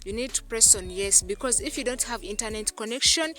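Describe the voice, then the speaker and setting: Bright-voiced woman walking viewers through steps. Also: fairly high pitch, a little fast. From Uganda. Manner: repetitive, keeps addressing viewers as "guys". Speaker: YouTube channel host. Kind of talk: screen-recorded tutorial voice-over.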